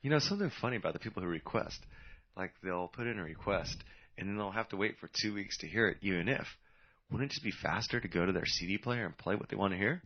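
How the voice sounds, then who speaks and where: Deep-voiced man with wry asides. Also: animated, fast talker. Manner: wry, dark joking asides. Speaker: radio co-host. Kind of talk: casual music radio chat. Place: radio studio. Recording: dull lo-fi radio recording.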